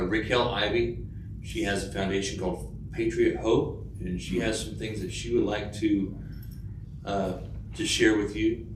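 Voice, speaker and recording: A man hosting a live event, speaking informally and off the cuff to an audience in a halting way. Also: fairly low pitch. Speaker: event host. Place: indoor conference room. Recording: echoey room, distant mic, clear noise.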